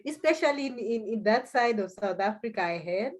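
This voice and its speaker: A woman's voice: a little high, lively intonation, brisk. Zimbabwean woman, hesitant conversational guest. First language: Shona. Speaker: interview guest, language school founder. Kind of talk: conversational personal account.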